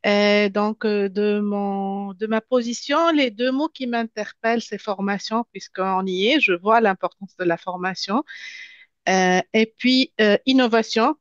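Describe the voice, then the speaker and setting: A woman's voice: mid-range voice, measured pace, no echo. A woman who works as an adult education trainer, introducing herself in an online meeting with many hesitations. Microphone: computer mic on video call. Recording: dull video-call audio.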